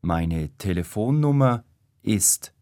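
A man giving measured, deliberate answers in a scripted language-course dialogue. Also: on the low side, expressive, dark tone, dry recording, studio quality.

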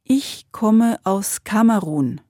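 Woman, very slow, mellow tone, dry close sound, deliberate answers in a learner dialogue. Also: medium pitch, lively intonation, no room sound. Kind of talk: slow scripted language-course dialogue.

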